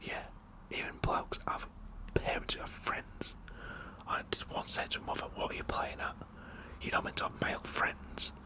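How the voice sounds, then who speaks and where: A British man whispering a rambling, jokey, blokey ASMR roleplay monologue. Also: moderate pace. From United Kingdom. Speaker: ASMR performer.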